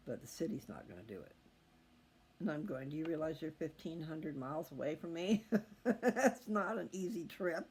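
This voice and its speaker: Folksy man with a gravelly creak. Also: a little high, animated, moderate pace, a little mellow. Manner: folksy, meandering, thinking aloud. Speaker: host of a scripture reading channel. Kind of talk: rambling casual chat to viewers. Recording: faint background noise.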